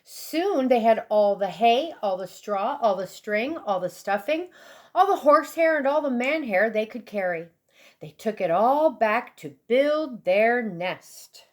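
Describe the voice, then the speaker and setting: A woman reading a children's storybook aloud expressively, with deliberate, dramatic emphasis for young listeners. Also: a little high, animated. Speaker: storybook reader.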